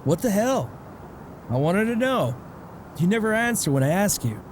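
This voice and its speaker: A man's voice: high-pitched, very animated, moderate pace, background noise. A man playing a blunt, nosy but caring father in a comic dub, probing and scolding. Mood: offhand. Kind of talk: amateur comic dub voice acting.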